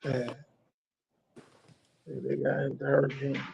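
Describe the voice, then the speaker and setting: A man's voice: medium pitch, monotone, unhurried, creaky, lo-fi sound, background noise. A man hosting a casual interview, talking in a loose, slangy way and often repeating his phrases. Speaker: host.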